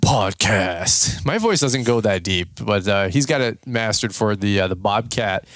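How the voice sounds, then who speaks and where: A man's voice: on the low side, expressive, measured pace, a bit bright, no room sound, clean studio sound. A man with an American accent chatting casually and jokingly, rambling through stories as a podcast host. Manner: rambling, jokey storytelling. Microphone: close podcast microphone.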